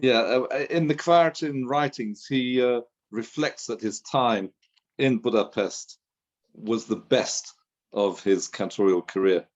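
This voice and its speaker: A man interviewing a guest, telling anecdotes in a relaxed conversational way. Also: medium pitch, slow pace, highs cut off.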